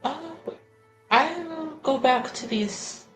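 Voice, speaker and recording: A woman improvises casually in a tabletop roleplaying game, speaking slowly and a little tentatively. The recording is roomy and echoey, with music underneath. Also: high-pitched. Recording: roomy echo.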